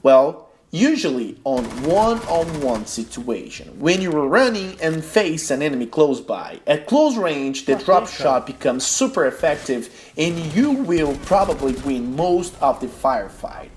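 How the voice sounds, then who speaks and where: A man's voice: on the high side, big pitch swings, unhurried, hardly any pauses, a little mellow, slight background noise. Brazilian man explaining a game tutorial. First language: Portuguese. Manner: explains tips step by step in careful English. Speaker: gaming tutorial host. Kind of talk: tutorial voice-over.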